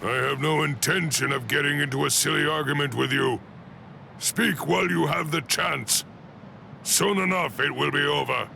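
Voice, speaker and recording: An actor playing an ancient, stern and proud warrior commander in an anime video game, with a gravelly voice and a grave, imperious delivery. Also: male voice, on the high side, normal speed, pushed and bright, slight background noise, full character voice. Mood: stern, dismissive. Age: elderly. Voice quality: gravelly, creaky.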